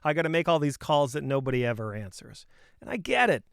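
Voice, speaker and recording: Guy doing sales coaching, really clear voice a bit on the high side, dry sound, opinionated and punchy, talks casual. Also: very animated, fast, no room sound. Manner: emphatic, conversational, punchy rhetorical questions. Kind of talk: informal opinionated sales advice talk to camera.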